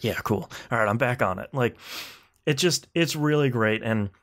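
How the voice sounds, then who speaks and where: A man with a mid-pitched voice hosts a video game podcast. He talks casually and enthusiastically, rambling along with a wry streak. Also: gravelly. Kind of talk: casual podcast chat about video games.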